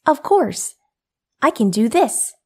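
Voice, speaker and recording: A woman voicing an earnest, polite young female android soldier in an animated drama, with formal, sometimes hesitant phrasing. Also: a little high, slow pace, slight background noise, heavily acted. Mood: polite, confident. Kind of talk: animated-series voice acting.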